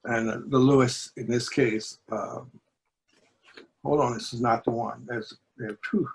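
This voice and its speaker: Slow, husky New man. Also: mid-range voice, steady tone, rough recording. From New Orleans, United States. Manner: deliberate, pausing, measured. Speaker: book editor and poetry reader. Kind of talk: reflective discussion and poetry reading.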